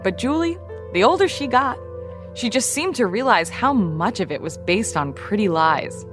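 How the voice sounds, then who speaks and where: An actress playing a wry, nostalgic radio journalist, narrating a scripted audio drama podcast. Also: female voice, medium pitch, lively intonation, normal speed, over music, natural voice. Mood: reflective.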